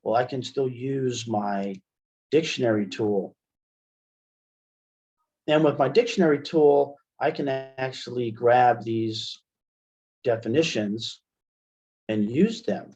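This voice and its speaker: A man giving an informal, step-by-step software demonstration as a webinar instructor, in dull lo-fi call audio. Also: mid-range voice, slow, pauses often.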